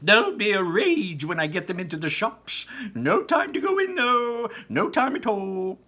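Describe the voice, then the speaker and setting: A man voicing an impatient, eccentric male candy maker, exclaiming and rushing through his lines in a storybook reading. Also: sits high, big pitch swings, bright, forceful tone, pitched above the performer's own voice, full character voice. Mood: excited. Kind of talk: storybook read-aloud.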